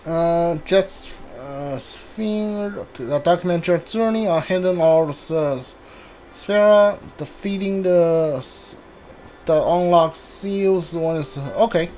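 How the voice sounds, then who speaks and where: Slow, halting male game streamer. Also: on the high side, a little mellow, a little hiss or hum, poor audio. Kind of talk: casual playthrough commentary.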